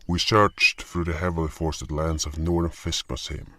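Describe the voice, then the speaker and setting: A man's voice: a bass voice, level tone, normal speed, sharp tone, no echo. A man reading scripted first-person narration for a fantasy audio story.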